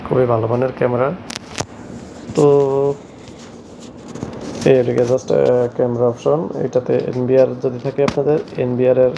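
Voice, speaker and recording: A man presenting a hands-on tech tutorial in a halting, repetitive, step-by-step way. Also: medium pitch, creaky, dull audio, noisy background. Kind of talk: hands-on product tutorial narration. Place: shop, indoors.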